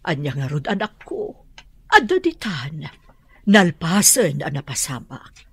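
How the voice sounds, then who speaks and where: A Filipino woman acting a gentle, pleading older mother who coaxes her grown daughter in a radio drama. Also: sits low, sing-song, a little slow, slightly rough, no echo, full character voice.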